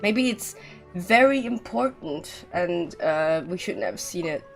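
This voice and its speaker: A woman's voice: on the low side, lively intonation, slow, creaky, faint music behind. A woman game streamer chatting and commenting casually on a live stream, informal and sardonic.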